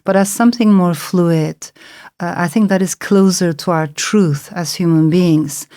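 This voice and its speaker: A woman's voice: lowish voice, measured pace, warm tone. A middle-aged woman novelist from Turkey, a native Turkish speaker, answering interview questions in a thoughtful, searching way with fillers and restarts. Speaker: novelist guest.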